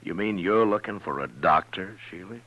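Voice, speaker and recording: A man's voice: sits low, normal speed, a bit bright. A man playing a stern, laconic Old West lawman in 1950s radio drama style, terse and authoritative. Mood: dry, calm. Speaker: lead actor and narrator. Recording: dull vintage radio recording with music.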